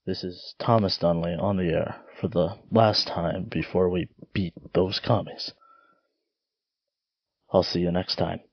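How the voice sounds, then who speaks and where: Deep-voiced patriotic radio announcer. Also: male voice, steady tone, measured pace, dry recording, muffled recording. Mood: sincere, grateful. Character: proud, sincere small-station radio announcer, drafted patriot. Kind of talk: audio drama radio sign-off.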